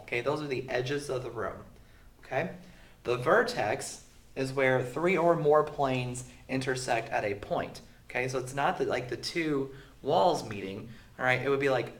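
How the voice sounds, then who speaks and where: Sick guy teacher explaining shapes, kinda far off mic, roomy echo. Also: medium pitch. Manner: casual, filler-heavy explaining. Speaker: math teacher. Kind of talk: classroom lesson recording. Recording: echoey room, far from mic.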